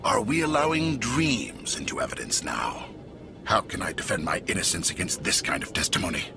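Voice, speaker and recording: A man playing a smug alien villain in a video game, with a sneering, drawn-out, contemptuous delivery. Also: lowish voice, huge pitch swings, creaky, exaggerated voice. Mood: scornful. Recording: music behind game dialogue.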